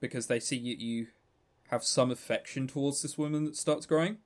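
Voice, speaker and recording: A man's voice: medium pitch, fairly even intonation, a little fast. A man co-hosting a podcast, casually reviewing a game and retelling its story in a rambling, chatty way with stammering restarts. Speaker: podcast co-host.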